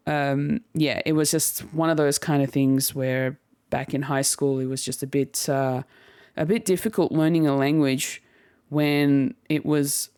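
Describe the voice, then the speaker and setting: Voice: medium pitch, darkish tone, smooth, dry recording. A young adult podcast host talking in a casual solo monologue, reflective and rambling, with frequent laughs and self-corrections, close to the microphone. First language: Turkish heritage household, speaks English at home.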